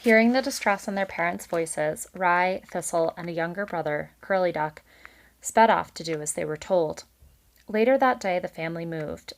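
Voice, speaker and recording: A woman's voice: fairly low pitch, normal speed. A woman reading a children's book aloud as a storyteller and commenting on it casually.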